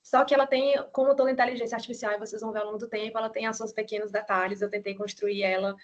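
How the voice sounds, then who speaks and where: A middle-aged woman with a Ceará accent lectures over a video call in an even, level tone that barely rises or falls. She keeps it conversational and often checks in with tag questions. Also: fairly high pitch, very fast, dull audio. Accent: Ceará, Brazil. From Ceará, Brazil. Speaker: university nursing lecturer. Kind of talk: online lecture.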